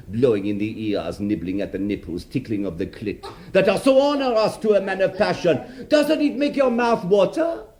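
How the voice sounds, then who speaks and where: An actor playing a brazen, self-righteous bourgeois murderer in a radio drama, declaiming in a florid, sardonic and gloating way. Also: male voice, on the high side, a little mellow. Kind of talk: theatrical radio drama monologue.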